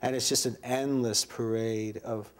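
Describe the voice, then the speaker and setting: A man's voice: mid-range voice, fairly even intonation, unhurried. A man chatting casually as an interviewer, with a playful, teasing manner.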